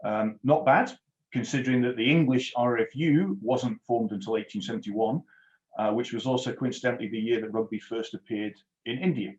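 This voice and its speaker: Middle-aged Scot on a headset video call. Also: male voice, medium pitch, brisk, a little mellow. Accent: mild Scottish, softened. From Scotland. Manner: self-deprecating, dry humour, many fillers and restarts. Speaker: rugby union executive, webinar guest. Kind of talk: presentation on a webinar. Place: home office. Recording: roomy, dull video call audio.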